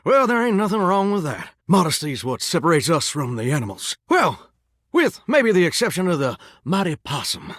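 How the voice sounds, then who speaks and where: An actor playing a vain, folksy singing shower in a video game, with a theatrical Southern drawl and country sayings. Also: male voice, fairly high pitch, fairly bright tone, no room sound, clean studio sound. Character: flamboyant, folksy singing shower, vain would-be showbiz star. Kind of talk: animated video game character acting with bursts of song.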